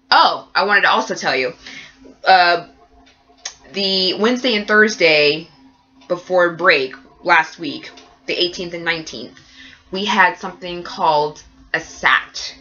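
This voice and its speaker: A woman with a cold talks in a chatty, rambling way, her voice nasal and stuffed up. Her tone is low-pitched yet bright and forceful. The recording sounds dull and lo-fi. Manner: chatty, rambling with many fillers. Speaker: nursing student vlogger. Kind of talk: casual vlog update.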